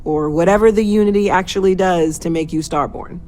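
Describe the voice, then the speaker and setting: A dedicated, excitable woman scientist with a lowish voice, speaking sincerely, played by an actress in a video game. Music sits faintly behind her. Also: a little fast, natural voice. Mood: excited.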